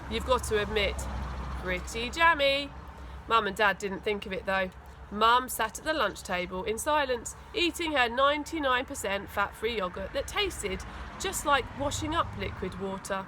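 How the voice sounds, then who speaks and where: Animated woman reading a story. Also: on the high side, normal speed, noisy background. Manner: expressive, dramatic emphasis. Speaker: school teaching assistant reading aloud.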